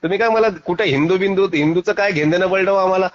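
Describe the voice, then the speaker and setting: A man talks rapidly in a high-pitched voice, blunt and combative. He presses his side of an argument insistently over a muffled, low-fidelity phone recording. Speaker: activist arguing on a phone call. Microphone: phone call. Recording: dull lo-fi phone call recording.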